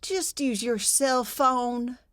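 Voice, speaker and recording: An actress playing a practical, gently needling wife in old-time radio comedy style. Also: female voice, on the high side, slow. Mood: exasperated. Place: recorded at home, dry and close.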